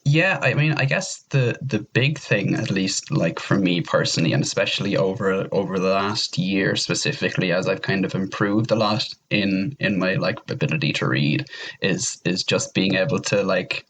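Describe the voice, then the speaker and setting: Young Irish male, low voice, informal interview guest with a rambling delivery. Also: moderate pace, a bit bright, poor audio. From Ireland. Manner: rambling, repeats words. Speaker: podcast guest. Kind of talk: casual interview chat.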